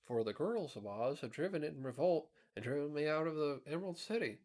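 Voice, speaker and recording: A storybook scarecrow king who has lost his throne, acted by a man lifting his voice. He sounds high and plain-spoken, earnestly airing his grievances. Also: lively intonation, fairly quick, a little mellow. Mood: rueful.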